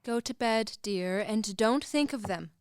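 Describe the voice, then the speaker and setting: Actress playing fussy mother, genteel, high-pitched, smooth, radio play. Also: a little slow, close to an everyday voice. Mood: fond, firm. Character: genteel, fussing mother, mistress of the house. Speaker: actor in a student radio play.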